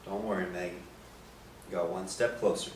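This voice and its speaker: A man's voice: deep voice, fairly even intonation, normal speed, lightly acted. A man playing a disillusioned con artist who posed as a priest, in a staged play reading. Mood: resigned. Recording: roomy, distant mic, faint noise.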